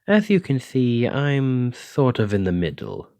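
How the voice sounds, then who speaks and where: A man's voice: medium pitch, big pitch swings, unhurried, a little mellow, no room sound, studio quality. A man playing a flirtatious, slightly possessive dragon-blooded librarian in an intimate, teasing ASMR romance roleplay. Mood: amused, explaining. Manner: intimate, teasing, uses pet names.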